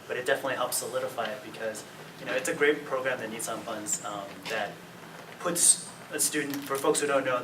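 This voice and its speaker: A man speaking as a panelist in an upbeat, plainspoken way. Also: mid-range voice. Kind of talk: casual panel talk. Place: conference hall. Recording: echoey hall from far off, noisy.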